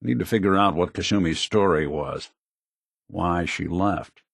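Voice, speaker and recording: A man's voice: sits low, measured pace, vocal fry, no room sound, clean studio sound, heavily acted. An American man playing a world-weary, wry synthetic detective in a video game, speaking like a hardboiled gumshoe. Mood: focused, investigative. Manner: wry, streetwise, plain-spoken. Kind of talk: video game companion dialogue, hardboiled noir acting.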